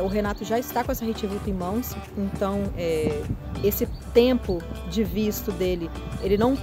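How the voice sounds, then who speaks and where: A Brazilian woman with a Brazilian Portuguese accent, hosting a casual vlog and explaining things step by step, often restarting her words. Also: medium pitch. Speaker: vlogger.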